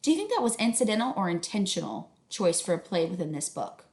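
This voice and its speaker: A woman's voice: on the low side, big pitch swings, fast talker, darkish tone. Woman teacher reading aloud in echoey room. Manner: instructive, questioning. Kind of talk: read-aloud narration with questions to students.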